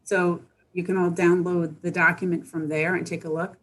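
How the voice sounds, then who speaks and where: Female village planner, lowish even voice, matter-of-fact and halting, briefing colleagues over a video call. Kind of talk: informal meeting update over video call. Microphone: video call microphone.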